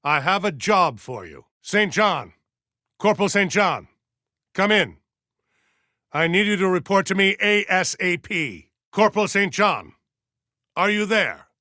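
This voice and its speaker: A man voicing a stern, aging militia colonel in a video game, formal and measured as he gives orders with deliberate pauses. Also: high voice, sharp tone, no room sound, clean studio sound, heavily acted. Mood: calling out, insistent. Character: stern aging militia colonel, dutiful, zealous, wary, slightly forgetful. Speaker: military commander giving orders. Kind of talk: scripted video game character dialogue.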